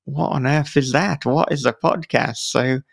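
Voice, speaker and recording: Man with a gravelly, creaky voice. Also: mid-range voice, unhurried, no echo, clean studio sound. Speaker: podcast host. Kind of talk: casual interview chat.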